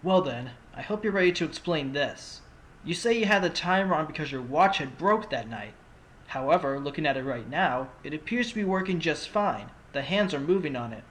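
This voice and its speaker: A man's voice: a little high, expressive, normal speed, fairly bright tone, poor audio. A man voicing a cocky young fox defense lawyer, smug and pointed, in a fan audio drama. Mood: triumphant, accusing.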